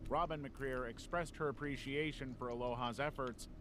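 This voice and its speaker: A man's voice: medium pitch, fairly even intonation, moderate pace, noisy background. A man delivering scripted documentary narration for a video. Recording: music under the voice. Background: background music.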